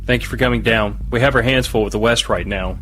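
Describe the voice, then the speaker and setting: Man playing a curt, gruff army major. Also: lowish voice, fast, fairly bright tone, slight background noise, lightly acted. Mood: businesslike. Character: gruff, dutiful male army major in dystopian future. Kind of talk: audio drama acting.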